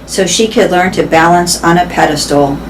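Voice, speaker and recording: Woman voicing a weary, gentle old elephant. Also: fairly low pitch, normal speed, natural voice, low-quality recording. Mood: sad, concerned. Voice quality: tired. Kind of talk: storybook read-aloud. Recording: background noise.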